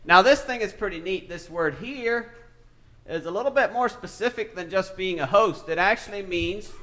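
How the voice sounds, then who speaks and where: Man, preacher. Slightly higher voice, loose conversational sermon with lots of ums, church setting. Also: normal speed, fairly bright tone. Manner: conversational, hesitant, thinks aloud with many fillers. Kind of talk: informal Bible teaching sermon.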